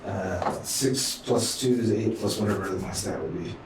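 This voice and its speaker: A man's voice: lowish voice, normal speed. A man playing a tabletop game, casually talking through what his character says and does. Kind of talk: casual improvised role-play. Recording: very echoey, distant mic, noisy.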